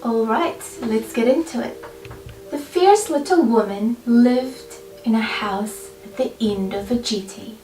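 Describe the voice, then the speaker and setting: A woman reading a children's picture book aloud expressively, with deliberate, dramatic phrasing and pauses. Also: on the high side. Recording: roomy, distant mic, faint music behind. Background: faint background music.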